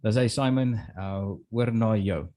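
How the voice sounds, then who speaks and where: A man hosting an event who introduces a guest speaker, talking hesitantly with many filler pauses. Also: on the low side, mellow, dry recording. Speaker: host.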